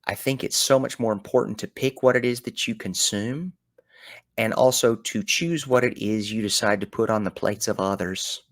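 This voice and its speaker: A middle-aged Southern man hosts a reflective solo talk, his low voice swinging from calm musing to loud, animated bursts. The recording is close and dry, with no room sound, and his easy drawl carries folksy phrasing throughout. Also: moderate pace, no room sound. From American South. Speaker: podcast host.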